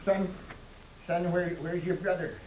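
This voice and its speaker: A man's voice: high-pitched, little pitch movement, unhurried, darkish tone, dull audio. An actor playing a confused, rambling old frontier father in a 1950s radio western, pleading and repeating himself helplessly. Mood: bewildered. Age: older. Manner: wandering, repetitive, pleading. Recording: echoey, hissy old radio recording.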